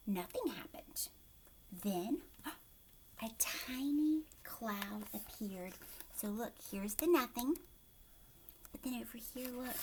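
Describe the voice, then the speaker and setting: A woman teacher reading aloud to young children, expressive, putting questions to the listener and often dropping into a hushed voice. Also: a little high, slight background noise. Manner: expressive, asks questions and pauses as if answered.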